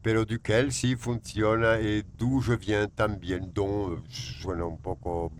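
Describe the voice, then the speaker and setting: A man whose first language is Spanish, teaching a French grammar lesson. He reads the rules slowly, then drifts into quick joking asides. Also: lowish voice, level tone, background noise.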